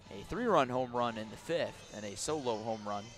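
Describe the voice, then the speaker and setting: A man's voice: medium pitch, animated, moderate pace, music in the background. Man calling baseball on radio. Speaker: baseball play-by-play announcer for a team's radio broadcast. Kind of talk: live radio sports commentary. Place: outdoor ballpark at night.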